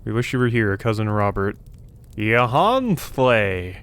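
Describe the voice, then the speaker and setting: A man's voice: on the low side, big pitch swings, unhurried, a bit bright, clear, resonant tone. A man giving casual let's play commentary, musing aloud in a wry way as he goes through a video game.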